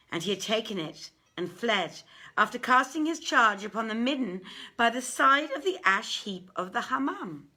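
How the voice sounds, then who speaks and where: A woman reading an old literary tale aloud in a theatrical, measured and deliberate manner. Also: medium pitch, bright, forceful tone. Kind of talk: dramatic literary story reading.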